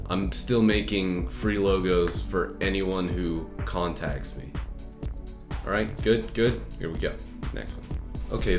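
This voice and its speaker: A young man answering viewer comments in a relaxed, rambling vlog chat, with frequent fillers and restarts, filmed on a webcam at his desk. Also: sits low, reverberant. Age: young adult. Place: bedroom with shelves, indoors.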